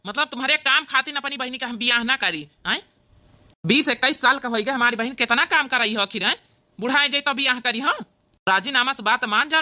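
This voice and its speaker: A woman's voice: fairly high pitch, pushed and bright. A woman voicing an outspoken, protective village brother with an Awadhi accent, arguing reproachfully in a cartoon comedy. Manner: argumentative, reproachful. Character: outspoken, protective grown son and elder brother, village man.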